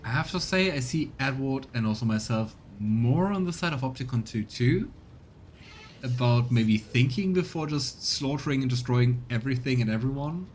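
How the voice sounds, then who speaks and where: A man streaming casual let's play commentary, thinking aloud tentatively over faint low rumbling noise. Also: animated, normal speed, a little mellow. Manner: musing, tentative.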